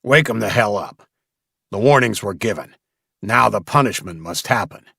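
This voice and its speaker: A brutal slaver boss acted by a male audiobook reader barks orders in a low male voice. He is short-tempered and commanding, every phrase loaded with menace, in a very dry, close sound. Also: lively intonation, moderate pace, creaky, pushed and bright, breathy edge, dry recording, full character voice. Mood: impatient anger. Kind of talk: audiobook character acting.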